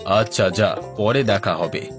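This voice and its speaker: Man acting a low-voiced henpecked cartoon husband. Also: slow pace, music in the background. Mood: weary, friendly. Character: easygoing, henpecked village husband and paddy trader.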